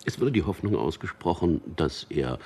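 A man's voice: low-pitched, lively intonation, normal speed, a little mellow, husky, heavily acted. An actor playing a cynical man in a radio drama, speaking with dry, intimate irony as he talks to his lover. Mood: wry. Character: Peter, wry cynical man telling mock news to lover. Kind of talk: German radio drama acting.

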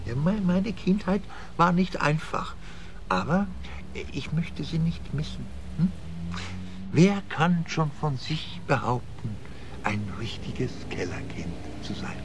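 An actor playing an eccentric, self-important quack healer in a radio play, theatrical and oddly solemn, sometimes halting. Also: male voice, on the high side, big pitch swings, noisy background. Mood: odd, wistful.

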